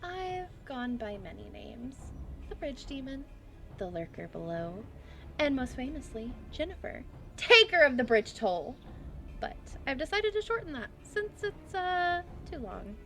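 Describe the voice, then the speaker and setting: A woman's voice: high-pitched, sing-song, unhurried, gravelly, a bit bright, exaggerated voice. A woman playing a brash, self-important bandit leader in a fantasy audio drama, boasting theatrically. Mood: smug, boastful. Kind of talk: fantasy audio roleplay acting.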